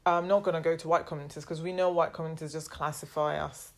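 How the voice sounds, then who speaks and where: A woman hosting a casual interview, lively and teasing, laughing a lot. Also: deep voice, fast talker. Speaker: podcast host.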